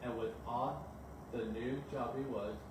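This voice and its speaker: A man narrates a children's storybook at bedtime. His voice sits at a middling pitch. The recording is heavily echoing and distant from the microphone, with clear background noise. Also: steady tone, a little slow. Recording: very echoey, distant mic, noisy background.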